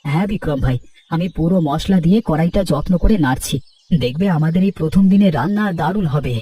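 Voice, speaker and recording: A man's voice: a little high, fairly quick, warm tone, breathy edge. A man voicing a humble, earnest and grateful poor rickshaw puller in a cartoon. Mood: earnest, hopeful. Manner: earnest, deferential. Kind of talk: dubbed cartoon dialogue. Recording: distant-sounding, music underneath.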